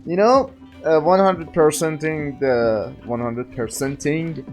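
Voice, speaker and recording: A man chatting casually as a game streamer, speaking haltingly. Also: on the high side, soft background music. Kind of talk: casual gameplay chat.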